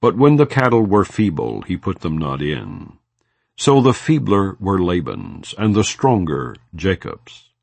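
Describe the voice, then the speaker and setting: A man with a deep, low voice reads scripture in a solemn, measured way. His delivery moves widely up and down in pitch. The sound is dry and close, with no room around it. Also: big pitch swings, gravelly, darkish tone, no room sound. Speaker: Bible reader. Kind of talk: scripture reading.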